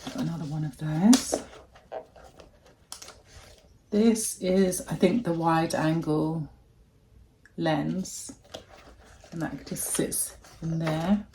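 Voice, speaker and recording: A woman with a deep voice, chatting casually and gushing as she unboxes a gadget in a vlog at home. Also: mellow, a little hiss or hum. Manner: gushing, chatty, thinking aloud. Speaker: vlogger.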